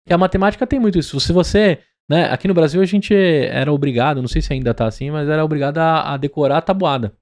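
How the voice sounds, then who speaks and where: A man's voice: on the high side, fast talker, hardly any pauses, a little mellow. A man from São Paulo with a Paulista accent, hosting a podcast interview and chatting with a lot of tag questions. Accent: Paulista Brazilian Portuguese. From São Paulo, Brazil. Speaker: podcast host. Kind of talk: conversational interview.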